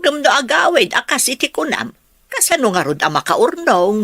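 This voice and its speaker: A woman's voice: sits high, big pitch swings, normal speed, a bit bright, breathy edge, no room sound, full character voice. A woman acting a worried, practical mother in an Ilocano radio drama. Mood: insistent.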